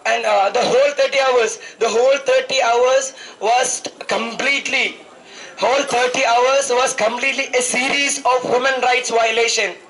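A man in his twenties with an Indian accent, a student activist making an impassioned political speech, haltingly and repeating phrases for emphasis into a handheld microphone. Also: extremely high pitch, sharp tone, low-quality recording. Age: 20s. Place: outdoors at night, public gathering. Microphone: handheld microphone held close to the mouth.

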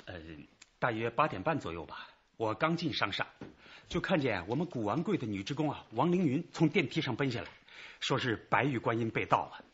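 A man's voice: medium pitch, very animated, a little fast, a bit bright, dull audio, slight background noise. A man playing a deferential, hesitant store manager giving a witness account in a radio crime drama. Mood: nervous recounting.

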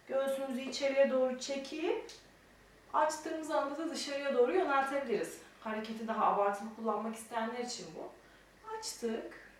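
Woman teaching mime in an echoey room. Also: on the high side. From Turkey. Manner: explaining and demonstrating, counting out movements. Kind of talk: step-by-step instruction. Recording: very echoey room, distant mic, faint noise.

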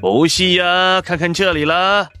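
A man's voice: sits high, fairly even intonation, unhurried, sharp tone, smooth, faint music behind, pitched above the performer's own voice. A man voicing a wily, skinny businessman in his fifties in an audiobook, drawling and nitpicking in Cantonese-accented Mandarin. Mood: smug haggling.

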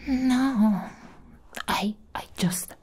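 Woman acting a slow, whispering, lovesick vampire girl. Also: a little high, darkish tone, pitched above the performer's own voice, full character voice. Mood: uneasy, pleading. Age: young adult. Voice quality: breathy whisper. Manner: slow, coaxing, clingy. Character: possessive, lovesick young female vampire ex-girlfriend. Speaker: ASMR roleplayer. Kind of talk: whispered ASMR roleplay. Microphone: close-up microphone.

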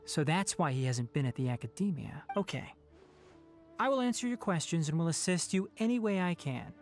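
A calm, earnest young ranger scholar, measured and firm. His delivery has lively pitch movement while staying composed. Soft game music sits underneath. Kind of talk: video game voice acting.

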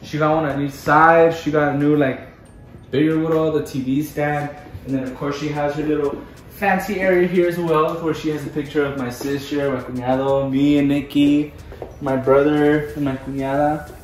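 A man's voice: on the high side, steady tone, moderate pace, distant mic, a little hiss or hum. A man, chatting casually on a house tour and slipping Spanish words into his English. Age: 20s. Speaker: vlogger. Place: indoors, small bathrooms and bedrooms of a house. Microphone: handheld camera or phone held by another person, a few feet away. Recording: roomy echo, voice away from the mic.

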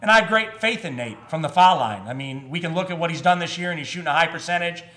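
A man in his fifties, a basketball head coach, answering reporters at a postgame press conference, measured and full of "uh" and restarts. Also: on the high side, bright, forceful tone. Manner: measured, with frequent fillers and restarts. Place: press room in front of a sponsor backdrop. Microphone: table microphone.

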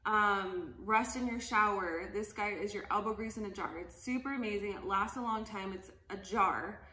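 A woman in her thirties talks through cleaning products in a chatty, enthusiastic way with frequent little laughs. Her voice is bright and sits at an ordinary pitch. It is recorded on a phone camera at a table in a living room that echoes noticeably. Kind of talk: casual product demo.

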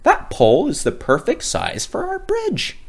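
Man playing storybook sky workman, excited, calling out, extremely high pitch. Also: measured pace, vocal fry, heavily acted. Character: excited heavenly workers building a sky bridge.

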